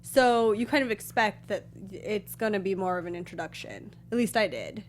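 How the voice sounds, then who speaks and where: American woman co-host, mid-pitched voice. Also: animated, normal speed. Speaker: co-host of a film review show. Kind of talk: casual panel chat and review.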